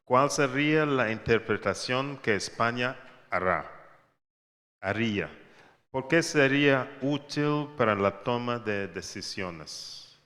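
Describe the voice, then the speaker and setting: A man's voice: mid-range voice, animated, slow pace, a bit bright. A man moderating a conference panel, speaking hesitantly with many fillers as he moves on to the audience questions. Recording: long reverb in a large hall with a faint audience.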